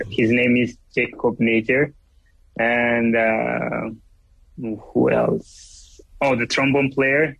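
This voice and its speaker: A man's voice: medium pitch, creaky, a bit bright, breathy edge, dry recording, muffled recording. A man from Ethiopia with an Ethiopian accent, speaking English as a second language, searching for words as an interview guest. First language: Amharic. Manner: halting, searching for words, many fillers. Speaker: musician guest. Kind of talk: interview over a line.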